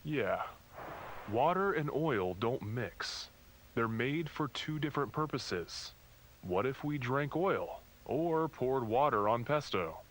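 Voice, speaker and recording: An actor playing a fatherly, patronizing puppet priest who gently preaches to children with a faintly sinister edge. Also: mid-range voice, lively intonation, slow, a little hiss or hum, low-quality recording. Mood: gently stern. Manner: patronizing, instructive. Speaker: pastor to children in a puppet show. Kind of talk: children's religious puppet show parody.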